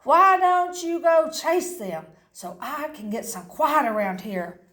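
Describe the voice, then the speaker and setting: A woman voicing a grouchy leprechaun who shouts and growls indignantly. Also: sits high, animated, slow pace, full character voice. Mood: irritated. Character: grouchy male leprechaun shoemaker.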